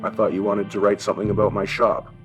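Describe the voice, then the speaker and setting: A man's voice: lowish voice, little pitch movement, fast, music in the background, lightly acted. A man voicing a kindly, wry old German shopkeeper in an audiobook story, with a thin accented voice and avuncular questions. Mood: wry, encouraging. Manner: avuncular, chuckling, rhetorical questions.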